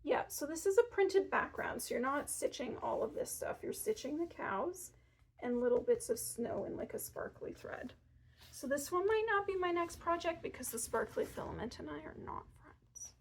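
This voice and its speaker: High-pitched chatty woman in her 30s showing sewing stuff in a shop, jokey asides, bit of background hiss. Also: expressive, moderate pace, rough recording. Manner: chatty, self-deprecating asides. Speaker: sewing shop staff presenting gift ideas. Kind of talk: casual product showcase. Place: fabric shop in front of fabric shelves.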